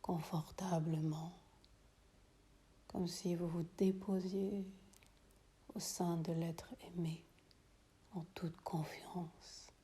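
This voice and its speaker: A woman teaching yoga nidra, guiding a meditation in a soothing, hushed, breathy delivery that often drops to a near whisper, with long pauses. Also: lowish voice, level tone, slight background noise. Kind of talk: guided meditation.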